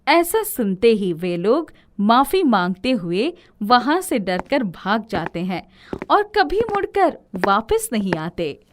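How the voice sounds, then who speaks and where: A woman narrating a scripted moral story as its storyteller. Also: on the high side.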